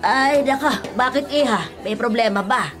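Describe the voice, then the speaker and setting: A woman's voice: a little high, normal speed, natural voice. A woman playing a puzzled, polite older household woman in a radio drama, full of questions. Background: music.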